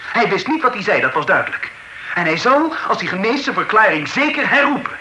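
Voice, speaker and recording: An accused gentleman protests with incredulous indignation. His high, very bright voice swings widely in pitch, and the recording sounds roomy like an old radio play. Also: a little hiss or hum, full character voice. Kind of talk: period radio drama acting.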